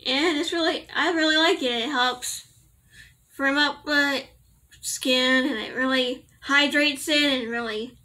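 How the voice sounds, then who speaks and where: A young woman in a casual home vlog, rambling and giggling as she corrects herself, her voice a little raspy from allergies. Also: high voice, fairly even intonation, a little slow, pushed and bright. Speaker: vlogger. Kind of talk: casual product review to camera. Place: small bedroom indoors.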